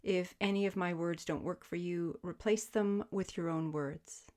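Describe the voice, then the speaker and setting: A woman guiding a sleep meditation as a yoga teacher, speaking in gentle, soothing, measured invitations. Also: on the low side, fairly even intonation. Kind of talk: guided sleep meditation, spoken instruction.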